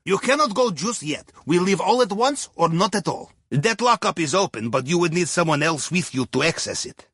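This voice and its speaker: A man playing a wry Russian submarine captain in a video game, briefing a heist crew with deadpan, folksy remarks and a Russian accent. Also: high voice, normal speed, few pauses, slightly rough, dry recording, clean studio sound, exaggerated voice. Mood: dry, instructive. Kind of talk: scripted game mission briefing.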